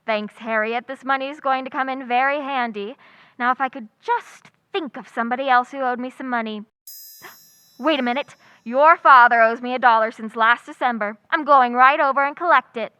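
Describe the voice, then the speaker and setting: A woman playing a wry, quick-witted schoolteacher in old-time radio comedy style, with dry, sardonic asides that stay polite. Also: sits high, bright, forceful tone, smooth, no room sound. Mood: dry, brisk.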